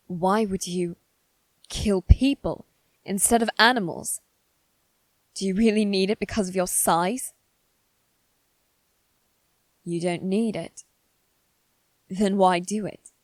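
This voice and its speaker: A woman voicing a guarded, haughty young noble boy in a scripted ASMR roleplay, with measured, formal phrasing. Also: mid-range voice, no room sound. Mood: accusing, probing. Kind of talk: ASMR roleplay, one-sided scripted dialogue.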